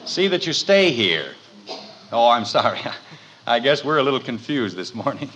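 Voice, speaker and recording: Casting: exasperated middle-aged father, 1950s radio comedy, wry and lively, slightly noisy old recording. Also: mid-range voice, normal speed. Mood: harried. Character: harried middle-aged father wrangling three children, exasperated.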